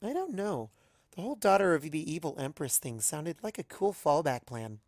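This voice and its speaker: A sulky, flippant young girl pony acted by a man, pitched higher than his own voice. The tone is whiny and offhand, in a dry storybook reading. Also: big pitch swings, normal speed, no room sound. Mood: flippant, stubborn.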